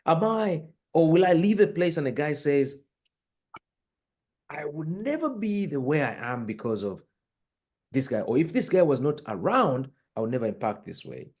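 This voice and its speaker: A man's voice: fairly high pitch, big pitch swings, normal speed, a little mellow. A man from northern Uganda, an executive guest on an interview podcast, talking earnestly and emphatically about purpose, with frequent fillers. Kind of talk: reflective conversational interview. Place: room with books behind him.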